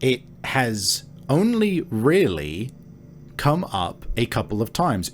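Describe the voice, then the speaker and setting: A man working as game master, improvising narration in an informal, halting way as he searches for words. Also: fairly low pitch. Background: background music.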